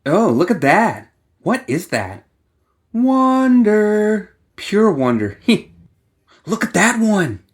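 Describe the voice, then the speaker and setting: A man voicing a cocky, quirky young gunslinger woman from an audiobook, who chatters in teasing, excitable exclamations. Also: high-pitched, faint music behind, voice pushed up, heavily acted. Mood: gleeful wonder. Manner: rapid-fire, teasing, exclamatory commentary.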